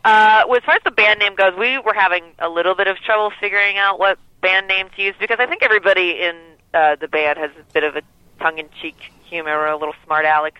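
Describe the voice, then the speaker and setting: A woman who sings lead in a band, with a lower voice, talking in a relaxed, rambling way with many fillers during a phone interview. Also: fast talker, clear, resonant tone, no room sound. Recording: dull lo-fi phone line.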